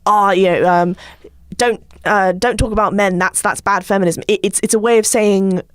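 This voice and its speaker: A woman talks casually on a podcast panel in a mid-pitched voice. She feels her way through sentences, hesitating and doubling back on words. The sound is dry, with very little room in it. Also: fairly even intonation, clear, resonant tone, dry recording. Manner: hesitant, halting delivery with repeated words.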